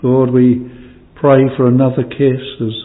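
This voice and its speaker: A man leading a solemn extempore prayer in old-fashioned language, pleading, his voice falling away quietly at the ends of phrases. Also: mid-range voice, monotone, measured pace. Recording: distant hall reverb, phone-like band.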